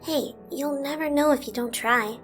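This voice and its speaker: Very high, bright, sassy cartoon girl. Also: normal speed, soft background music. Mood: kind, eager. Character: young female draconequus girl, friendly, sassy, eager to play. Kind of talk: animated audio drama acting.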